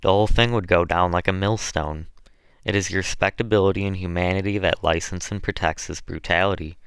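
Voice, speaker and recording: A man voicing an earnest, indignant young gentleman in an audiobook, speaking formally and with emphasis. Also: sits low, steady tone, a little fast, smooth, dry recording, clean studio sound.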